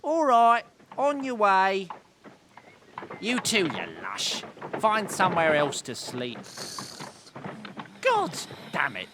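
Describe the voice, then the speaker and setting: An actress playing a curt, scornful, greedy city guard in an audio drama. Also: female voice, mid-range voice, very animated, slow pace, long pauses, background noise. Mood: irritated. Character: gruff, greedy, bad-tempered city guard.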